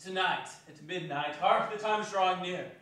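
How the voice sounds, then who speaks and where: A man's voice: sits high, moderate pace, fairly bright tone. A man playing a hearty, solemn, ageing Christmas spirit in a theatrical stage reading. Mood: grave, urgent. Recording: echoey hall, distant, faintly noisy.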